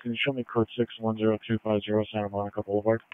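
A male police officer radios in to dispatch. He talks fast in a flat, lowish voice, through a thin, phone-like radio channel. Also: a little hiss or hum.

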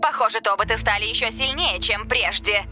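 Sinister cartoon mastermind, controlled and menacing, music behind. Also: female voice, fairly high pitch, sharp tone. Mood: quiet menace. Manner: measured, menacing. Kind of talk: cartoon dub acting.

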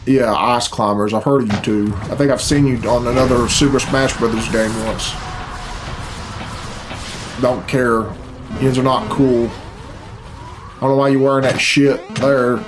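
Blunt man reacting over loud music. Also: medium pitch. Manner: blunt, grumbling, swears freely. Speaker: reaction video host. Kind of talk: casual reaction commentary. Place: small furnished room at home, indoors. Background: loud game cutscene music.

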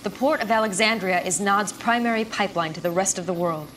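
A woman's voice: mid-range voice, fairly quick, fairly bright tone, a little hiss or hum, natural voice, low-quality recording. A woman playing a confident military intelligence officer in a game, briefing a commander in a direct, informal way. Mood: confident, businesslike. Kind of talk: game cutscene mission briefing.

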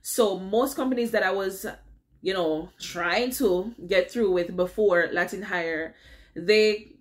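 A woman's voice: medium pitch, slow. A middle-aged woman with a Jamaican accent, chatting through a casual advice vlog from a home office and checking in with her viewers as she goes. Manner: chatty, keeps checking in with "all right?". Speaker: vlogger. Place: home office with window blinds.

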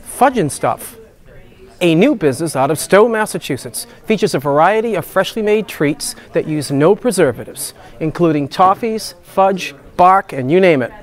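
A man's voice: high-pitched, expressive, normal speed. A man, a market vendor hosting and introducing sellers in an upbeat, folksy salesman manner. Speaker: market vendor and video host. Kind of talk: promotional introductions and voice-over.

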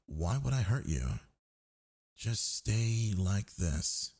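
A man playing a sleepy, needy gamer boyfriend in an intimate audio roleplay, coaxing in soft, breathy murmurs. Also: lowish voice, lively intonation, exaggerated voice. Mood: teasing, tender. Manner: coaxing, murmuring, drawn-out words.

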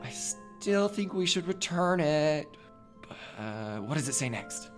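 Man playing a cautious younger brother, loud guitar behind. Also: a little high, big pitch swings, takes it slowly. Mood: hesitant, worried. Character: cautious, anxious teenage brother reading a journal aloud. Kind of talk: audio drama acting.

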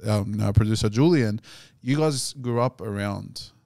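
Aussie co-host from sydney, relaxed storyteller, lowish voice, dry mic. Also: male voice, moderate pace, creaky, no room sound. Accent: Australian. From Sydney, Australia. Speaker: podcast co-host. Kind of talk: casual podcast chat.

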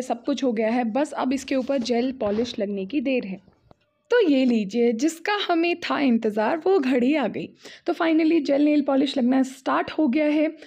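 High, mellow-voiced young Indian woman vlogging. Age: young adult. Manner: switches between Hindi and English. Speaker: beauty vlogger. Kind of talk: casual vlog narration.

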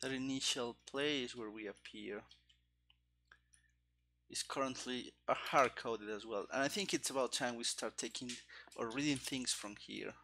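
Guy coding on stream, kinda mumbling to himself, slow n halting, bit of fry in the voice. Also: medium pitch, sharp tone, a little hiss or hum. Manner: halting, muttering to himself in fragments. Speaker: game developer streaming his coding. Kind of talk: live coding commentary, thinking aloud. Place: small room indoors. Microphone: headset.

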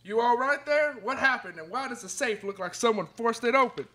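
Young male actor, earnest frontier sheriff, high-pitched, bright forceful tone, radio play, faint noise. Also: a little fast. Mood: concerned. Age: young adult. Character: folksy Old West sheriff, drawling and earnest. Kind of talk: student live radio play, read from script. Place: classroom studio, indoors.

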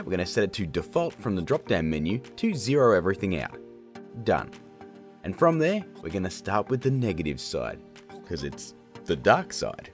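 A man in his thirties energetically hosting a software tutorial, chatty and jokey, from a home studio. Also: lowish voice. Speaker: video tutorial host.

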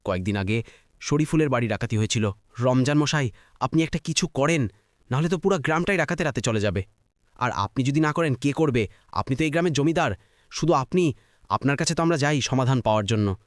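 A man's voice: medium pitch, animated, fairly quick, no room sound, clean studio sound, lightly acted. A man voicing a worried, insistent villager pleading in a cartoon story.

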